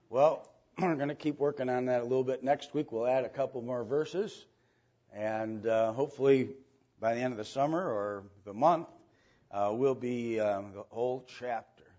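A man teaching an informal Bible lesson to a church group, with halting delivery and frequent pauses to clear his throat. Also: medium pitch, a little mellow. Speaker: church Sunday school teacher. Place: church room with a group present. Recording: dull, lo-fi church recording.

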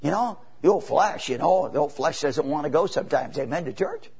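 A man's voice: on the high side, expressive, darkish tone, breathy edge. A man in his seventies preaching a sermon as a traveling evangelist, folksy and repetitive, building to emphatic bursts. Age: 70s. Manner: preaching with rising emphatic bursts and drawn-out pauses; folksy, repetitive, peppered with "you know" and "amen".

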